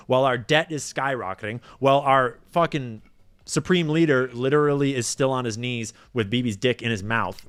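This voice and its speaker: A man's voice: medium pitch, fairly bright tone, no echo. Blunt, profane man ranting to a live chat. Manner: blunt, swears often, rambling asides. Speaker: live stream commentator. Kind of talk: casual profane commentary.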